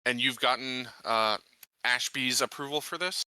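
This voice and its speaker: A man's voice: mid-range voice, moderate pace, bright, forceful tone, smooth, no room sound, rough recording. A man playing a skeptical colony security officer in a tabletop game, asking blunt questions in a plain, hesitant way. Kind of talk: improvised tabletop roleplay dialogue.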